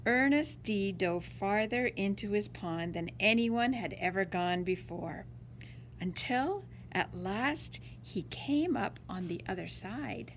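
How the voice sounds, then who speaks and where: A woman's voice: mid-range voice, expressive, takes it slowly, a little hiss or hum. A woman reading a children's picture book aloud like a teacher, drawing out words for dramatic emphasis. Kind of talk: expressive storybook read-aloud.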